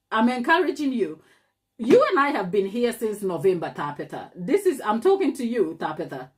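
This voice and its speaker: Ghanaian woman, channel host on a live stream, direct and emphatic, fond of rhetorical questions, mid-pitched voice. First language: not English. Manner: emphatic, talks straight to viewers, rhetorical questions. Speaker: cake maker and channel host. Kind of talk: live stream chat to viewers.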